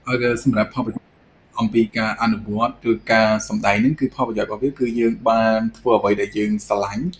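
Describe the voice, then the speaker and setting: Very fast, monotone, lowish-voiced Cambodian man. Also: slight background noise, rough recording. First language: Khmer. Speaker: pageant contestant and actor. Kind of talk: interview answer.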